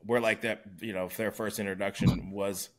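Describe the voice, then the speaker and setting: A man in his mid-thirties co-hosting a casual chat, easygoing and quick to agree. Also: on the low side, level tone. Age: 30s. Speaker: podcast co-host.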